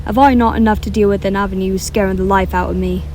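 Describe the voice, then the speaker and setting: Woman as a feisty mid-pitched household maid. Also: steady tone, fast talker, a little mellow, a little hiss or hum, close to an everyday voice. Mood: scolding, uneasy. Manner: colloquial, exclamatory, nagging. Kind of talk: radio drama acting.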